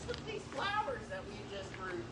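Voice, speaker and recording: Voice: medium pitch, sing-song, brisk, close to an everyday voice. A performer voicing a sweet but scolding country grandma with exaggerated folksy talk in a live puppet show. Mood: fond. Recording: roomy distant live recording, loud crowd noise.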